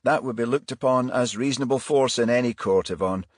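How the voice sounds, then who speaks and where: A man's voice: medium pitch, a little fast, no echo, clean studio sound, voice pushed up, natural voice. A man playing a firm, unflappable police detective inspector in a crime audiobook. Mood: matter-of-fact.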